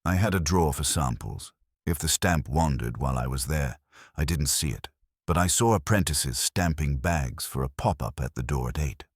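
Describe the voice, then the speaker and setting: A man voicing a smooth, evasive spice merchant who answers carefully and deflects, in an audiobook mystery. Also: a bass voice, lively intonation, moderate pace, no room sound, clean studio sound, heavily acted.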